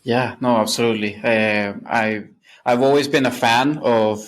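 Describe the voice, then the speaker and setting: A man's voice: medium pitch, fairly even intonation, unhurried. A man, a Spanish speaker, answering casually and reflectively as a podcast guest. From Venezuela. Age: late 20s.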